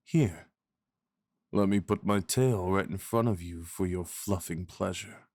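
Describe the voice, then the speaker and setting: Slow, tender, low-voiced fox husband played by a man. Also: no room sound, clean studio sound. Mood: doting, playful. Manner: soft, tender rambling with breathy murmured endearments. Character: devoted, possessive fox spirit husband, doting and tender. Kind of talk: intimate ASMR roleplay.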